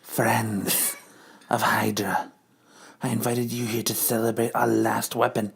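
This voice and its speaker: Sultry villainess, played by a man, low and hushed, grandiose and flirtatious, for a comic book reading. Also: flat delivery, unhurried, gravelly, husky, full character voice. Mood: grand, menacing. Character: sultry, villainous female leader of an evil organization.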